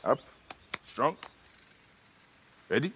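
A man's voice: extremely slow, bright, forceful tone, slight background noise. An actor playing a determined warrior and father who speaks tersely and resolutely in a game.

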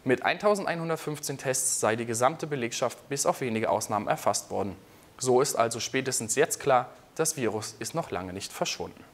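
A man's voice: mid-range voice, animated, brisk. A young man presenting scripted local news in a studio. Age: young adult. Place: indoor TV studio.

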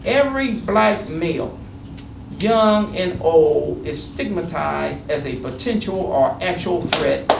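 High-pitched, gravelly middle-aged American man, distant mic. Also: animated, slow pace. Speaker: academic panel speaker. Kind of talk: reading a prepared statement aloud with spoken asides to an audience. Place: indoor panel room. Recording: roomy, distant microphone, noisy background.

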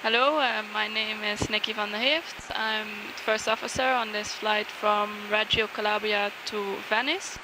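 A woman in her mid-twenties introduces herself to camera as an airline first officer. Her voice is medium-pitched and her delivery halting as she searches for words. Clear engine noise from the cockpit runs behind her. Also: steady tone. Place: small airliner cockpit in flight.

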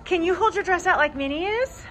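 A woman in her thirties, a mother, vlogging casually on a phone with a chatty, relaxed manner. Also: very high-pitched, fairly quick, a crowd in the background. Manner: chatty, relaxed, gently encouraging. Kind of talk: casual vlog narration. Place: cruise ship dining room and cabin.

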